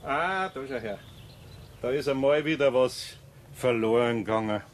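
A man's voice: medium pitch, moderate pace, a little hiss or hum, natural voice. A man playing a patient, good-natured older craftsman with a Bavarian accent and a mildly grumbling manner in a children's radio play. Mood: explaining. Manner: homely, soothing, mildly exasperated.

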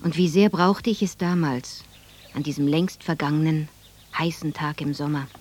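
A woman's voice: deep voice, fairly even intonation, normal speed, a little mellow, slight background noise, natural voice. An actress playing a reflective woman narrator who recalls a hard childhood in a literary radio drama.

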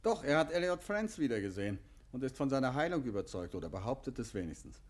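An actor playing a composed, affectionate gentleman detective and husband in a 1950s German radio play, speaking politely, discreetly and in measured phrases. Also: medium pitch, big pitch swings, close to an everyday voice. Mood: relaxed, evasive. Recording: 1950s radio recording, faint noise.

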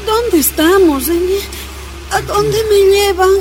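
An actress playing a weak, wounded miner's wife in a radio drama, plaintive and emotional. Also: female voice, extremely high pitch, slow pace, noisy background. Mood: frightened, confused.

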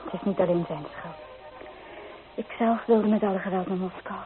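A woman's voice: mid-range voice, fairly even intonation, normal speed, soft background music, natural voice. A young provincial woman, played by an actress in a radio play, homesick and bitter. She speaks in earnest, wistful, dramatic phrases. The recording is thin and narrow, like an old telephone line. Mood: subdued, resentful. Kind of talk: Dutch radio play acting.

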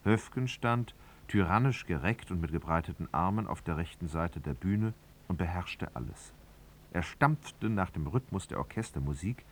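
An actor as a grave, observant literary narrator, telling the story expressively in a radio drama. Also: male voice, low voice, animated, normal speed, slight background noise. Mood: intense.

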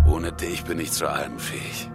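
An actor playing a blunt, sardonic, hard-drinking police investigator in a German radio crime drama. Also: male voice, extremely low pitch, flat delivery, measured pace. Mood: irritated, sardonic. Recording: dramatic music behind the voice.

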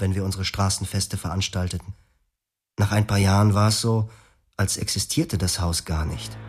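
A man's voice: lowish voice, darkish tone, natural voice. Actor as a brooding husband narrating his past. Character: grieving husband telling his story. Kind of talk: radio drama monologue.

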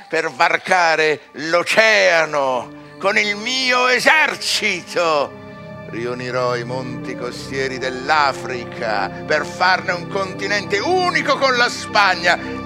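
A man's voice: fairly high pitch, animated, takes it slowly, bright, forceful tone, faint music behind, exaggerated voice. An actor playing a proud scholar who bargains his soul with the devil, declaiming theatrical verse with dramatic swells and drops in a radio drama. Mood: exultant, grandiose.

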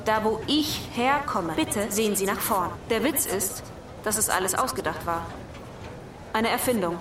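Radio drama: actress as sincere cardboard announcer woman, middle pitch, courteous, heard from afar in an echoing space. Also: animated, normal speed, natural voice. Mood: measured, polite. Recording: roomy, distant, noisy ambience.

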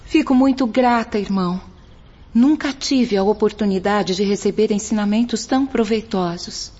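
A woman's voice: medium pitch, moderate pace, darkish tone, lightly acted. A Brazilian woman playing a humble, devout young penitent, earnest and pleading, in a radio drama. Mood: grateful, pleading. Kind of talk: Portuguese radio drama acting. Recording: dull lo-fi audio.

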